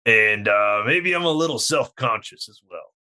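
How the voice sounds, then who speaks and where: A man's voice: medium pitch, animated, normal speed, pushed and bright. A man voicing a blunt, angry but self-conscious tavern brawler with his jaw jutted out. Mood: gruff, defensive. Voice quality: jutting-jaw delivery.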